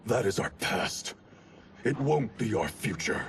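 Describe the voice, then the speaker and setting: A man's voice: fairly low pitch, lively intonation, measured pace, creaky, distant mic, very noisy. A man playing a proud, ambitious ninja clan grand master, cold, commanding and contemptuous, in a video game cutscene. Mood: defiant.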